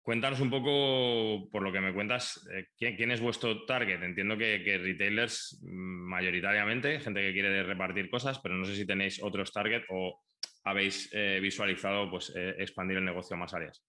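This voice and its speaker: Brief: low, very bright male host, encouraging and colloquial. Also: steady tone, moderate pace. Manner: encouraging, short colloquial interjections. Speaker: interviewer. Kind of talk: business interview host.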